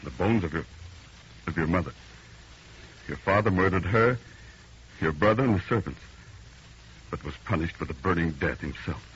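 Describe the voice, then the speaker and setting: A man's voice: sits low, lively intonation, normal speed, long pauses, fairly bright tone. An actor playing an agitated, insistent man haunted by a nightmare, in old-time radio drama style, by turns hesitant and grim. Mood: grave, urgent. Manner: hesitant, then grimly insistent. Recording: muffled, faintly noisy vintage radio recording.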